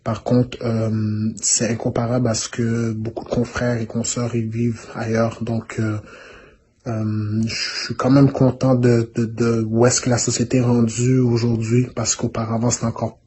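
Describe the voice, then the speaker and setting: A young man giving a relaxed, hesitant testimonial to students. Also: medium pitch, moderate pace, a little hiss or hum. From Rwanda, raised in Canada. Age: young adult. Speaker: former student, pro football player.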